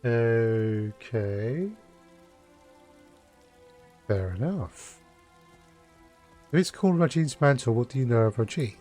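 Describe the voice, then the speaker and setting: A man's voice: medium pitch, slow, pauses often, warm tone, soft background music. A British man giving casual gameplay commentary and reading out dialogue choices as he streams. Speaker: game streamer.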